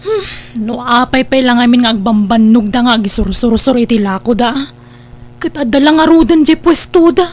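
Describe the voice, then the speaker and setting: A woman's voice: a little high, normal speed, a little mellow, natural voice. An Ilocano-accented woman playing a disapproving, nagging elder sister from a village in an audio drama. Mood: defensive. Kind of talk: Ilocano radio drama dialogue.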